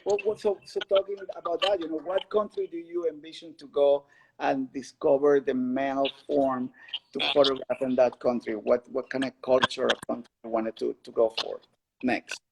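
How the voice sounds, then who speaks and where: A man hosting a casual interview as a curator, putting questions in a halting way and rephrasing as he goes. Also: medium pitch, little pitch movement. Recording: clearly noisy, with clicks.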